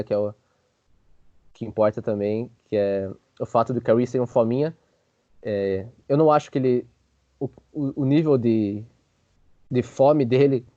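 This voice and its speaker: Man, sports host, casual commentary, joking, informal, relaxed tone, dry muffled lo-fi recording. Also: medium pitch, no room sound.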